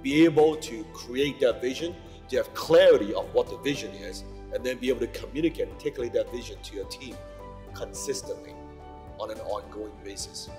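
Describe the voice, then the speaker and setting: A man's voice: mid-range voice, a little fast. A man giving an emphatic, punchy motivational speech over music. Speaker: motivational speaker. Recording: long hall reverb with loud music.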